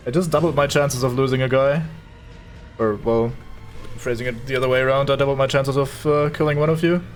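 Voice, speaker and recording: A man's voice: mid-range voice, steady tone, fairly quick, faint music behind. A man from Germany with a German accent, giving casual, sarcastic commentary as he streams a game and swears at it.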